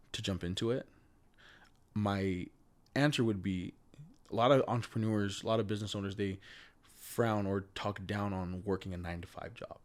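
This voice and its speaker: A man with a stutter hosting a podcast, speaking in an earnest, motivational way and calling his guest "bro" and "dude.". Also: on the low side. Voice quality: stutters, swaps hard words on the fly. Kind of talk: casual conversational interview.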